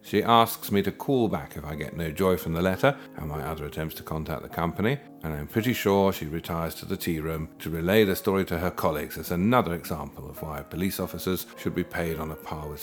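A man's voice: sits low, fairly quick. A man reading his own first-person story aloud in a dry, wry storytelling manner. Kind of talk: scripted first-person narration. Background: guitar music.

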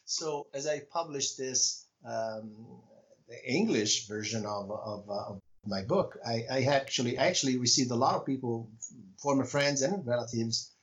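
A man with an Italian accent, speaking as an author being interviewed on a podcast, talking in a reflective, measured way with frequent pauses. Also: mid-range voice, highs cut off. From Italy. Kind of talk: conversational interview.

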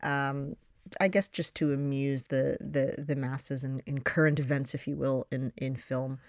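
A woman's voice: extremely low pitch, fairly even intonation, measured pace, darkish tone, dry recording, clean studio sound. A woman co-hosting a casual film podcast, teasing and blunt, laughing often. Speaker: podcast co-host.

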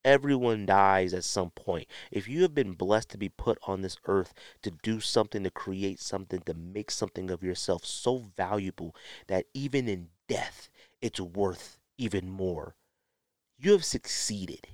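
A man with a fairly low voice hosts a solo podcast. He delivers an opinionated rant, blunt and exasperated, leaning on emphatic rhetorical questions. The sound is dry, with hardly any room to it. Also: unhurried, no echo. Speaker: podcast host.

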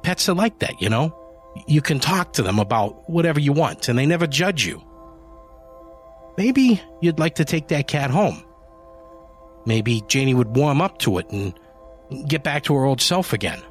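A man's voice: fairly high pitch, very animated, moderate pace, long pauses, faint music behind, pitched above the performer's own voice. A man voicing a kindly older widower who talks in a folksy, encouraging way. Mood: friendly.

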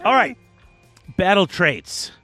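A man's voice: sits high, sing-song, slow, sharp tone, faint music behind. A congested man co-hosting a casual tabletop gaming chat, reading rules aloud in eager bursts. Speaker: podcast co-host.

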